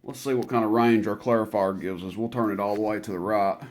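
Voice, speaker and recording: A man's voice: on the low side, fairly even intonation, fast. A man giving a casual product demonstration as a seller, talking informally and rambling through each step as he goes.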